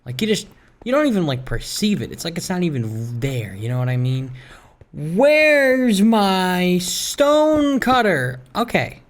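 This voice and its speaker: A man's voice: high-pitched, wildly swooping pitch, unhurried. A man doing casual Let's Play commentary, musing and talking to himself in a rambling way as he plays. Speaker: gaming video creator. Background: game music.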